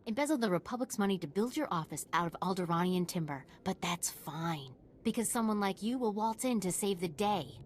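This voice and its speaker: An actress playing a sardonic, resentful military quartermaster in a video game, matter-of-fact and sarcastic. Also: female voice, medium pitch, expressive, brisk, few pauses, a little hiss or hum, close to an everyday voice. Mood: sarcastic, bitter.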